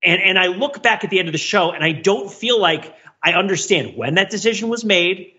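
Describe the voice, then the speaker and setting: A man's voice: high-pitched, expressive, a little fast, pushed and bright, lo-fi sound. A man co-hosting a casual pop-culture discussion, analytical and rambling, often interrupting himself. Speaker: podcast co-host.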